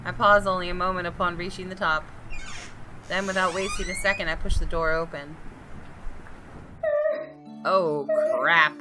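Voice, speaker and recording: A woman's voice: on the low side, steady tone, moderate pace, long pauses, rough recording. A woman gaming host reads a visual novel aloud with suspenseful expression and slips into casual commentary. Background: game music.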